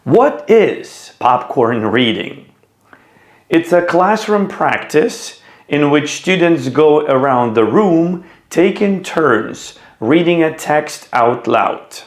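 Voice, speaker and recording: A man giving scripted educational narration as a teacher, in a measured, deliberate way. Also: a little high, reverberant. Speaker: teacher presenting an educational video.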